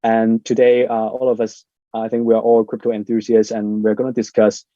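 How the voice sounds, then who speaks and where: A man's voice: fairly low pitch, completely flat delivery, brisk, warm tone, no echo. A man hosting an online panel, introducing guests in a casual way with many fillers. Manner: casual, filler-heavy, upbeat. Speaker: host. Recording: dull lo-fi sound.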